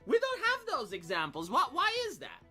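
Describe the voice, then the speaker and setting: A man's voice: very high-pitched, huge pitch swings, normal speed, sharp tone. A man giving casual, off-the-cuff reaction commentary as a streamer, argumentative and sardonic, often throwing out rhetorical questions. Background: music behind the voice.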